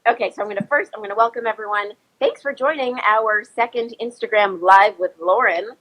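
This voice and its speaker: A woman's voice: mid-range voice, a little fast, fairly bright tone, low-quality recording. A woman in her thirties hosting a casual live-stream interview over a video call, slightly sniffly with a cold and chatty with many ums and restarts. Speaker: host of an Instagram live chat. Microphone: phone or laptop, video call.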